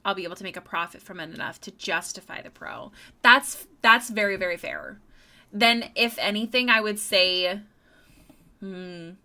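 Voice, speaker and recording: A young woman talks casually to her live-stream viewers while she works on a drawing. She is chatty and laughs easily, often repeating words as she thinks aloud. Her voice is bright and crisp, at an ordinary pitch for a woman. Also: moderate pace. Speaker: streaming artist.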